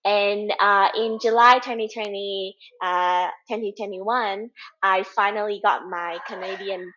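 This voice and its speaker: A woman's voice: medium pitch, fairly even intonation, measured pace, fairly bright tone. A young woman from Vietnam, a podcast guest telling her story earnestly in simple phrasing with small grammar slips. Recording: slightly muffled audio.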